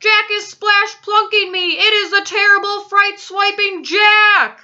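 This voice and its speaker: A woman's voice: very high voice, fairly even intonation, unhurried, bright, forceful tone, lo-fi sound, voice pushed up, full character voice. A woman voicing a huge brutish male giant from a storybook, screeching and bellowing his pleas in terror. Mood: panicked, screaming.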